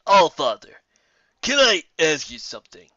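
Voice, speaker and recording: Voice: mid-range voice, very animated, measured pace, pushed and bright, no room sound, highs cut off, exaggerated voice. A narrator voicing a sullen, sarcastic male monster soldier who grumbles under a demotion in an audio story.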